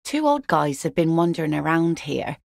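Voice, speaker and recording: A woman's voice: low voice, sing-song, normal speed, vocal fry, no room sound, exaggerated voice. An actress playing a weathered net picker and mother in a video game, dry and plain-spoken. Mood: dry, wry. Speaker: fisherwoman and mother.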